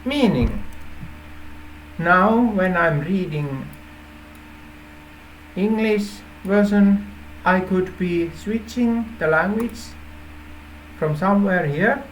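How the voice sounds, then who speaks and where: Slow-paced Finnish-accented man. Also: high-pitched, expressive, slight background noise, low-quality recording. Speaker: app developer presenting a feature idea. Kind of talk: informal explainer to camera.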